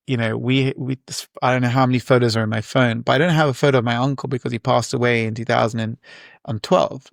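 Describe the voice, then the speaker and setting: A man's voice: medium pitch, gravelly, dry recording, studio quality. A man in his forties, a guest entrepreneur, talking in a casual, reflective way with many fillers and restarts. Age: 40s. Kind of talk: casual interview talk.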